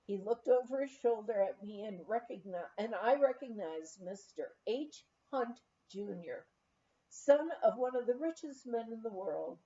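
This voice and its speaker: Woman, high lively pitch, unhurried memoir narration, storytelling manner, slightly muffled lo-fi recording. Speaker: volunteer audiobook reader.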